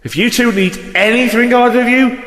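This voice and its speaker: A man's voice: very high voice, a little fast, fairly bright tone. A man playing a worn-down police detective in an audio drama, terse and downcast. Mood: weary, distressed.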